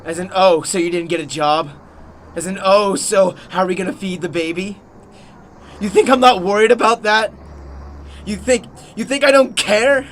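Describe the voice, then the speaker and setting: A man playing a struggling young comedian and husband in a radio drama, halting, wounded and defensive. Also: high-pitched, a bit bright, slight background noise. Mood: anguished outburst. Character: struggling young comedian husband, anxious, bitter and self-pitying.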